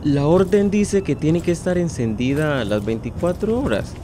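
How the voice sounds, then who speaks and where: An actor playing a rigid, callous police guard in a radio drama, curt and unyielding. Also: male voice, a little high, expressive, moderate pace, background noise, natural voice. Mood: stern. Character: rigid, callous male police guard who follows orders.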